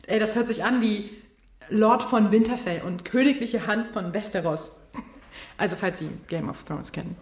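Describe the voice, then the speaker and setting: A woman's voice: medium pitch, moderate pace, reverberant, close to an everyday voice. An actress playing a chatty young intern woman who talks in a casual, rambling, slangy way.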